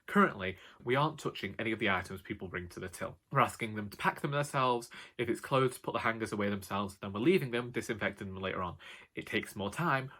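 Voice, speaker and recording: A British man with a loud voice rambling casually to camera in a wry, sarcastic way, like a vlogger. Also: on the low side. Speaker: vlogger and author.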